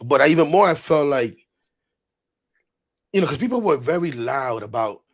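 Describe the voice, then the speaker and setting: A man in his forties hosting a casual sports talk show, animated and rambling, repeating words and laughing often. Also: on the high side, moderate pace. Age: 40s. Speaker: podcast host.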